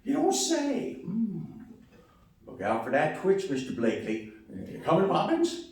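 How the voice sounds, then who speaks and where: A man playing an easygoing, curious old grandfather in a live radio play, folksy and unhurried. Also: high voice, huge pitch swings, a little mellow, exaggerated voice. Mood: unruffled. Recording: very echoey hall, distant microphone, noisy.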